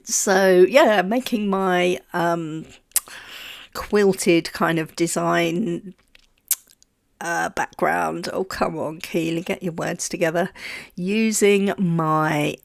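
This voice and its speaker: A woman's voice: fairly low pitch, fairly even intonation, slow, vocal fry. A woman with a congested voice from a heavy cold, chatting casually about her crafting and stumbling over her words. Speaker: craft vlogger. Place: home craft table.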